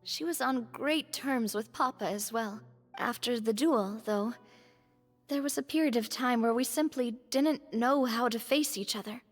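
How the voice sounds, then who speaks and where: A gentle young lady in a fantasy game. Her voice is fairly high, polite and sincere, reverberant over background music. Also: measured pace. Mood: wistful.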